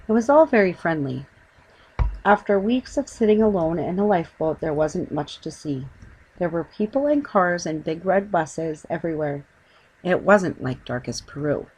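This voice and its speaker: A woman with a slightly low voice narrates a children's audiobook. She reads scripted story prose. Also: a little mellow, slightly rough, low-quality recording.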